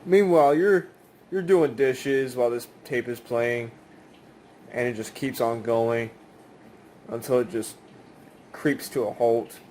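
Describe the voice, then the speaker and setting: A man's voice: medium pitch, takes it slowly, long pauses, warm tone, a little hiss or hum. A man giving a casual, rambling hands-on demonstration in a conversational way. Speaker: video maker demonstrating cassette tapes.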